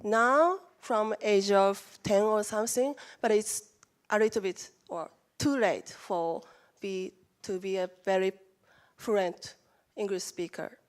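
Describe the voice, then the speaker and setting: Japanese lady giving a talk, slow and halting, stops and restarts a lot. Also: medium pitch. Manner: halting, careful non-native phrasing with restarts. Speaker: conference speaker. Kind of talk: presentation talk. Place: conference room.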